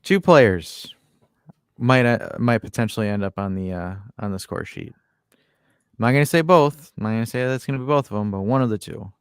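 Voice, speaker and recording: A man's voice: lowish voice, moderate pace, smooth, dry recording, clean studio sound. A man with an American accent co-hosting a football podcast, joking, teasing himself and reading out fan comments in a casual chat. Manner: joking, self-mocking, reads out fan comments.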